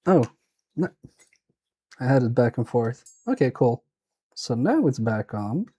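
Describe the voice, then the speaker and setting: A man's voice: mid-range voice, big pitch swings, measured pace, warm tone, slightly rough. A man with a Swedish accent, thinking aloud and muttering to himself as he repairs a toy on a live stream. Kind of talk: casual live-stream commentary while repairing.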